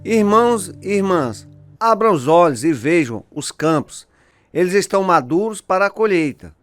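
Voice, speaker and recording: An actor playing a fervent missions preacher, exhorting believers in a declamatory sermon for a radio drama. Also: male voice, sits high, lively intonation, moderate pace, faint music behind.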